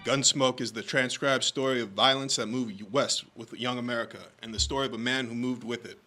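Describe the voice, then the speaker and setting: A man gives a dramatic announcer's read for a radio western. The voice is bright and forceful at a medium pitch, with music behind it. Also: steady tone, fairly quick, lightly acted. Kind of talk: old-time radio announcing.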